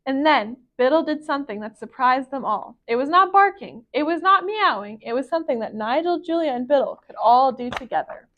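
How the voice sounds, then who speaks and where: A woman reading a children's picture book aloud as a library storyteller, with expressive, careful phrasing. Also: high-pitched, a little fast, few pauses, muffled recording.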